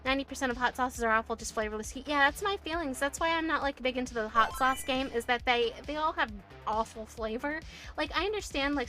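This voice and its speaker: A woman's voice: on the high side, bright, forceful tone. A woman from the United States streaming and chatting casually with viewers, talking with lots of fillers and little laughs. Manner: chatty, giggly, many fillers and restarts. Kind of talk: casual chat reading viewer messages.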